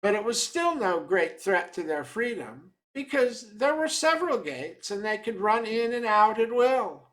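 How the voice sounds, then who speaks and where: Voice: mid-range voice, sing-song, a bit bright, rough recording. A reader's patient, methodical swamp trapper. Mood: patient, measured. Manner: deliberate, step-by-step storytelling. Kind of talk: dramatic reading of a folk tale.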